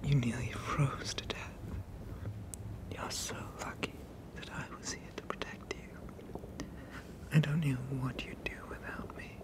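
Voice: medium pitch, steady tone, close to an everyday voice. Whispering, doting sea monster dad , acted out. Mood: doting, protective. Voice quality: breathy whisper. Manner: soothing, hushing, slow and deliberate. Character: tender, doting, possessive sea monster father. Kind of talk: whispered ASMR roleplay.

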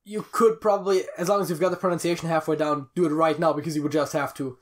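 A man's voice: fairly high pitch, fairly even intonation, fast talker, a loud crowd. A young man co-hosting a podcast with dry, nitpicking casual banter in a small room. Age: young adult. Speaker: podcast co-host. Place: small attic room, indoors.

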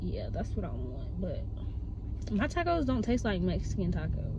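A woman's voice: fairly low pitch, wildly swooping pitch, normal speed. A young woman, chatting casually into her phone while she drives, rambling with lots of fillers. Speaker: vlogger. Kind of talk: casual chat while driving. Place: in a car on the road. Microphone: phone mounted in the car. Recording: dull phone audio, loud road noise.

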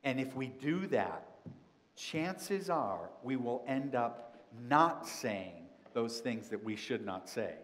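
A middle-aged man, a pastor, giving a children's sermon and asking the kids many gentle questions. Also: mid-range voice, expressive, slow pace, slight background noise. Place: large church sanctuary. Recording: long church reverb.

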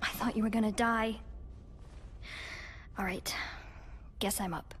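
A woman's voice: medium pitch, steady tone, unhurried, a little hiss or hum, natural voice. An actress playing an anxious, girlish young woman in a video game, hesitant and worried. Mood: nervous. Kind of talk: video game cutscene acting. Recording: loud music behind.

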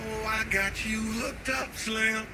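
A man's voice: high voice, steady tone, slow pace. An American man voicing a flamboyant, brash middle-aged pimp in a video game, with slangy swagger and an electronic edge to his voice. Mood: cocky. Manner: slangy, swaggering street talk. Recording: music behind the voice.

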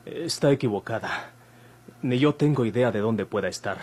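A Mexican actor playing an earnest young hospital patient in a classic radio soap, polite, measured and guarded. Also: male voice, mid-range voice, darkish tone, husky, lightly acted. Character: earnest young male patient, a bandit's son. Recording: old noisy radio drama recording, hum.

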